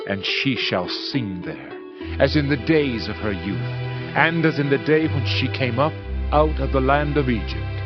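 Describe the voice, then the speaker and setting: A man playing a solemn Old Testament prophet, narrating scripture in a grave, deliberate, oratorical manner. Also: medium pitch, lightly acted. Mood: solemn, hopeful. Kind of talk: dramatized scripture reading. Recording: muffled audio, loud music behind.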